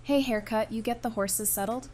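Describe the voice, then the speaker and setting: A woman's voice: a little high, fairly even intonation, normal speed, darkish tone, natural voice. A woman voice-acting a wry, affectionate apocalypse survivor in an audio drama, casual and dryly teasing. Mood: wry, warm. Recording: noticeable background noise and ambience.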